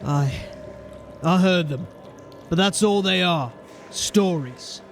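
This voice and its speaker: An actor playing a gruff, resolute sergeant of a fantasy city watch in an audio drama, giving clipped, commanding orders with dry sarcasm. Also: male voice, high voice, takes it slowly, a little hiss or hum.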